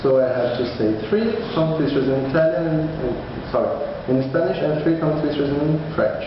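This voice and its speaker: A man's voice: a little high, normal speed. A man whose first language is Portuguese, speaking English in a casual vlog, hesitant and restarting often, laughing at himself. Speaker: vlogger. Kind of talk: casual study-update vlog. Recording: far-off echoey hall, dull lo-fi with noise.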